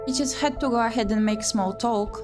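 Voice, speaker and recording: A woman's voice: fairly high pitch, brisk, music in the background. A woman reading a first-person story aloud in a dramatic narrating style for a game stream.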